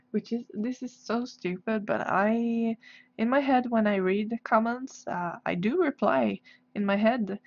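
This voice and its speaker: A dull, lo-fi livestream recording of a woman with a Swedish accent. She talks slowly and casually, pausing often and hunting for English words as she hosts. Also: a little high, darkish tone. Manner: hesitant, halting, searching for English words. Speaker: livestream host, artist. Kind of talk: casual livestream chat while drawing.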